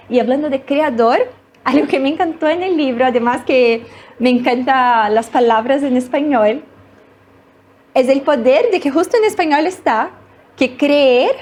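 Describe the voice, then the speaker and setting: A woman's voice: sits high, darkish tone, a little hiss or hum. A woman hosting a TV interview, speaking in an effusive, admiring way. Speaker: TV host.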